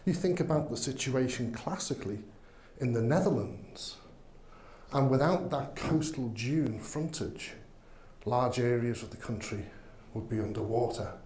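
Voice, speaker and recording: A man's voice: mid-range voice, a little slow, a little mellow, reverberant, slight background noise. A man with a Liverpool accent giving an enthusiastic conference talk, with many ums. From England. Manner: enthusiastic, filler-heavy, says um often. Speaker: conference speaker. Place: conference hall.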